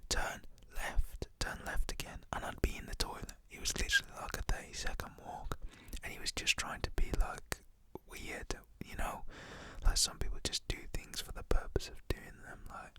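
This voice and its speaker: A man's voice: normal speed, hardly any pauses, bright, forceful tone. A young British man whispers a casual, slangy, rambling story in an ASMR style.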